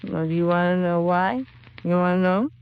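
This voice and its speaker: Bitter grieving mother, played by an actress: old-time radio noir, defensive, working-class slang, gravel in a resonant voice. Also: lowish voice, normal speed, dull audio, natural voice. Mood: bitter, defiant. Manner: slangy working-class speech, brooding repetition. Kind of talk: 1950s radio crime drama acting.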